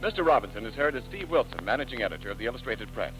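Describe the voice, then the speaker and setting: A man giving a booming, formal opening announcement for a 1930s radio drama. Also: big pitch swings, very fast, music in the background. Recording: dull 1930s radio broadcast, off mic.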